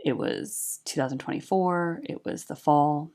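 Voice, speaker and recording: A young woman with a low-pitched voice talks casually straight to her audience. She's earnest and chatty, and her speech is filled with "like" and "right?". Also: a little slow, mellow. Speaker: YouTube music reactor and tribute band singer. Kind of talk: casual talking-head chat about music.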